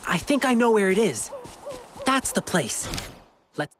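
A woman playing a youthful, confident adventurer in a video game, earnest and expressive. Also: mid-range voice, unhurried, slight background noise. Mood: alert, curious. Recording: game music underneath.

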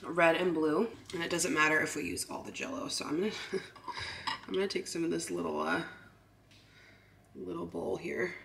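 Casual woman vlogger with a slightly low voice, narrating her baking over clattering dishes. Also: fairly even intonation, moderate pace, vocal fry, pauses often, fairly bright tone, a little hiss or hum. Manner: chatty, narrates each step, giggles often. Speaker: lifestyle vlogger. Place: home kitchen.

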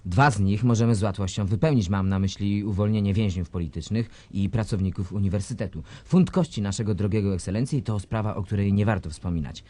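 A man playing a sly, husky-voiced scheming minister. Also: on the low side, a little fast, few pauses, dark tone, no echo, lightly acted. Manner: sly, sardonic, chuckles while plotting. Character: scheming male government minister, sly and cynical. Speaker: government minister. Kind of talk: radio drama acting.

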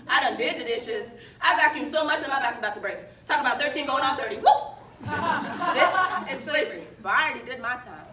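A young woman recites spoken word with forceful emphasis. Her voice is high and bright. She is picked up from a distance in a roomy space. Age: young adult. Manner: emphatic, declamatory. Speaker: slam poet. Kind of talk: spoken word poetry performance. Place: indoor stage in front of a projection screen. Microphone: far camera. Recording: roomy, distant microphone, background noise.

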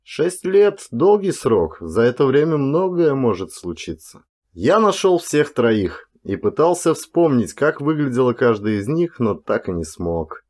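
A male audiobook narrator tells a first-person story with expressive, acted-out delivery. His mid-range voice moves animatedly up and down, giving the narration a dramatic shape. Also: medium pitch, unhurried.

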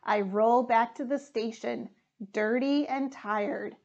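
A young woman reads a picture book aloud for children. Her voice is high and she takes her time, reading deliberately and expressively. The indoor recording sounds slightly dull and lo-fi. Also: gravelly. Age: young adult. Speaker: firefighter reading a storybook. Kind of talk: children's storybook read-aloud. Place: small room indoors.

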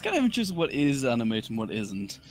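A man's voice: mid-range voice, wildly swooping pitch, quick pace, a little hiss or hum. A man giving casual, jokey commentary on a video with mocking asides.

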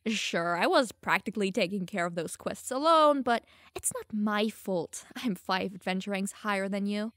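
A woman's voice: mid-range voice, moderate pace, few pauses, fairly bright tone, no echo, clean studio sound, close to an everyday voice. A young woman playing a teasing, smug, secretly lovestruck elf mage in an audio roleplay, playful and easily flustered. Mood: playful, defensive. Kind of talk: audio roleplay addressed to the listener.

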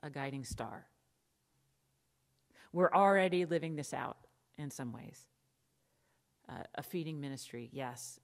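A middle-aged American woman with a low voice preaching a sermon from a church pulpit. She talks conversationally, with asides and emphatic lists, into a lapel mic. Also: measured pace, pauses often. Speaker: pastor.

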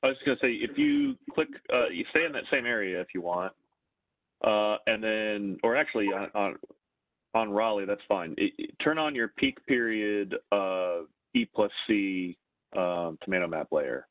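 Low-voiced man over a tinny line. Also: big pitch swings, normal speed, no echo. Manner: explanatory, checks in with "right?". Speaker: planner on a webinar. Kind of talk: explaining on a video call. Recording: tinny, dry phone-like line.

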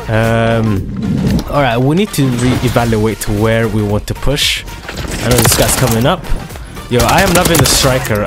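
A man giving excitable, slangy commentary over his own shooter gameplay, his voice a little hoarse from a dry throat. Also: mid-range voice. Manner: excitable, slangy trash-talking banter. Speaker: gaming video creator. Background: loud background music and gunfire.

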